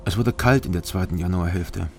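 Gloomy burnt out guy narrating a radio crime story, deep gravelly voice, short flat phrases. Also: a little fast. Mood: sombre narration. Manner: terse, subdued delivery. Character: gloomy, burnt-out East German man narrating his own story. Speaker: lead actor and first-person narrator.

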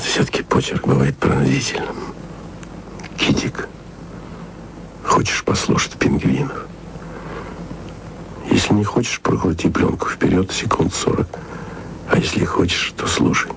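A man's voice: animated, unhurried, pauses often, exaggerated voice. An actor playing a wry, lovesick male radio journalist who murmurs confiding messages onto tape, often close to a whisper. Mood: intimate. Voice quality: low gravelly murmur, often near a whisper. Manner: intimate, confiding, self-ironic. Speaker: radio man taping messages to a colleague. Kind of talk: radio drama acting. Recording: noisy tape recording.

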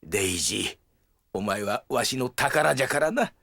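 A blustering old grandfather, a greedy small-town mayor in an audio drama. His pitch leaps about between sly coaxing and outbursts, theatrical from start to finish. The recording is dry and close. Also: animated, normal speed, creaky, a bit bright, no echo. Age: older. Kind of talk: Japanese audio drama acting.

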